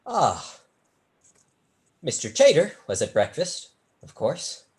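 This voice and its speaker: A performer playing a witty young male tutor in a staged period play, speaking in a dry, measured, articulate way. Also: mid-range voice, huge pitch swings, darkish tone. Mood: dry, aloof.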